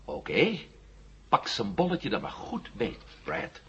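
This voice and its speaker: A man's voice: lowish voice, wildly swooping pitch, slow, a bit bright, breathy edge, exaggerated voice. An actor playing a cocky, determined hero questioning his captive in a 1970s radio drama, wry and commanding. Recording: muffled, noisy old radio drama recording.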